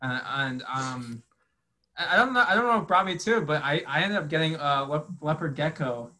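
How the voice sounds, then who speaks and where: A young man with a bright, clear-edged voice that sits a touch high for a man. He answers slowly, stumbling and restarting, filling gaps with hesitant little pauses as he chats with friends. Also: animated. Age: young adult. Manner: hesitant, full of fillers and restarts. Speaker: podcast guest. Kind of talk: casual chat.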